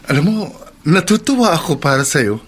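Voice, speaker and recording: An actor playing a calm, supportive, gently chiding Filipino male companion in a radio drama. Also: a little high, fairly quick. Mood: caring, chiding. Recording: slight background noise.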